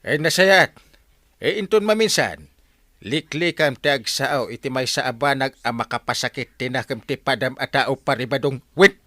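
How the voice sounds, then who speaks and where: A Filipino man playing an excitable, scolding village captain full of drawn-out exclamations in a radio comedy. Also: medium pitch, dry recording, natural voice. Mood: admonishing. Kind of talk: broad radio comedy drama acting.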